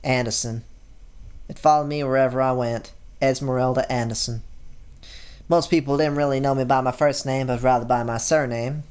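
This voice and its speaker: A man reading a visual novel aloud in plain, steady narration, with the odd casual aside. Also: mid-range voice, normal speed, clean tone. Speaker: YouTube gamer.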